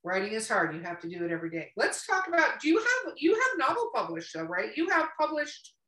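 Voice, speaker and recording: Echoey, bright, higher-voiced woman. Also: huge pitch swings, a little fast. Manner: blunt, emphatic, repeats for emphasis. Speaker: podcast co-host. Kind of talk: casual chat. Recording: roomy echo, distant from mic.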